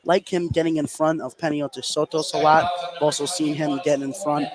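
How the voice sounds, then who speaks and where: A man calling live high school basketball play-by-play from an indoor gym, running through the action and getting excited on big plays. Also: a little fast, over a noisy crowd. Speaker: play-by-play commentator. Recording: noisy live gymnasium ambience.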